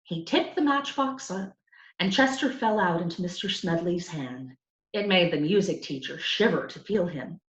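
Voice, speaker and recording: A woman reading a children's story aloud with expressive, emphatic narration. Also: lowish voice, moderate pace. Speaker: storybook reader. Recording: roomy, slightly dull sound.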